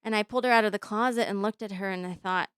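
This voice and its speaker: A woman's voice: mid-range voice, fairly even intonation, fast, fairly bright tone, smooth, dry recording, clean studio sound. A woman in her thirties, born in Bosnia with Bosnian as her first language, telling personal stories in a thoughtful interview, with many ums and restarts. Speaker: interview guest, professor. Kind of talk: conversational interview storytelling.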